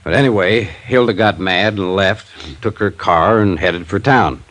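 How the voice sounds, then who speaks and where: A man's voice: low-pitched, a little slow, fairly bright tone. An actor playing an older American small-town newspaperman, wry and cagey, talking in a folksy rustic way in an old-time radio drama. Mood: guarded, recounting.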